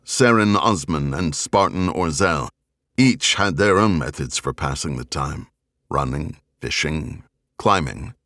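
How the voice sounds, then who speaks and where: A man reading a novel as an audiobook narrator, in a grave, measured, dramatic style with deliberate phrasing. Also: low-pitched, fairly bright tone, dry recording, clean studio sound. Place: dry recording studio.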